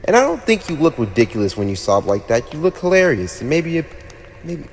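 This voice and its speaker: A man's voice: fairly high pitch, very animated. Man acting a haughty young demon lord, swooping delivery. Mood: teasing, amused. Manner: haughty, teasing, theatrical, with drawn-out pauses. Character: haughty teenage demon lord, playfully sadistic ruler in hell. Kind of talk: ASMR roleplay acting addressed to the listener. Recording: long reverb, audible background noise.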